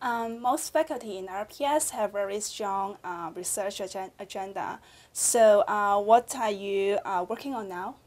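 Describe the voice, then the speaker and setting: A woman's voice: mid-range voice, a little slow, darkish tone. A woman in her twenties from Guangzhou with a Chinese accent, interviewing casually as a student and searching a little for words. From Guangzhou, China. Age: 20s.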